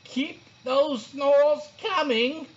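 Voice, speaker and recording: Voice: high-pitched, lo-fi sound, voice pushed up. A storyteller voicing a sleepy, lazy sloth teacher who drawls out lessons in a children's book. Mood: drowsy. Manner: slow loud drawl.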